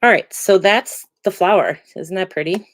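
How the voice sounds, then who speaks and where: A middle-aged woman demonstrating card making, chatty and relaxed with many ums, still a little congested from a cold. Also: mid-range voice, normal speed, no echo. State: congested, getting over a cold. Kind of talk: casual crafting tutorial.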